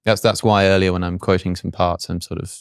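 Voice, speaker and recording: Young English host, deep voice, resonant, dry room. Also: male voice, level tone, fairly quick, clean tone, no echo. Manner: thoughtful questioning, some hesitation. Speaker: podcast host. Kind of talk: interviewing.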